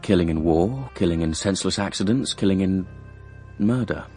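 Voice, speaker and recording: A man's voice: sits low, moderate pace, warm tone, soft background music, close to an everyday voice. An actor playing a squeamish, sardonic young English son who narrates his own story in a radio drama, in a dry, understated way. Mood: brooding, reflective. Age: young adult. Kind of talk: radio drama first-person narration and dialogue.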